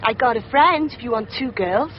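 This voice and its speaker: Actress as a high-pitched, brash streetwalker. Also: female voice, lively intonation, moderate pace, muffled recording, slight background noise, natural voice. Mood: brisk, soliciting. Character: brash young female street prostitute soliciting drivers. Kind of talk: radio drama acting.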